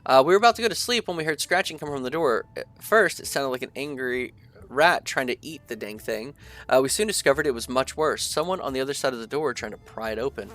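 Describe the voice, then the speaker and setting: A man's voice: mid-range voice, fast talker. Stuffy-nosed young man playing a game. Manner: relaxed, chatty, thinks aloud with many fillers. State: stuffy from allergies. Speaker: gaming video creator. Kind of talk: casual let's-play commentary, reading game text aloud.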